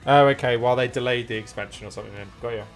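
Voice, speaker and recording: A man with a medium-pitched voice chats casually while streaming a game. He thinks aloud, reads menus out and puts questions as he goes, with guitar music playing behind him. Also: steady tone, racing. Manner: thinking aloud, questioning, reading menu items out.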